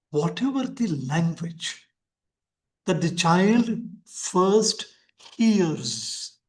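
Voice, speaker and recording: Older Indian man lecturing slowly. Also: on the high side. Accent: Indian English. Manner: slow, emphatic delivery with frequent repetition. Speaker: professor giving an online teacher-training lecture. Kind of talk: lecturing and questioning participants. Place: lecture hall.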